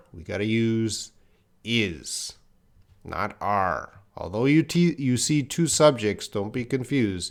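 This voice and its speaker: Slow, patient male English teacher. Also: lowish voice. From Canada. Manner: slow, patient explaining, reads chat comments aloud. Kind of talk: live online grammar lesson.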